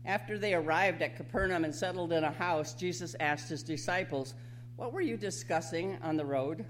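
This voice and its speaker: A woman's voice: on the low side, moderate pace. A woman reading scripture aloud as a lay reader in worship. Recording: noisy recording with hum.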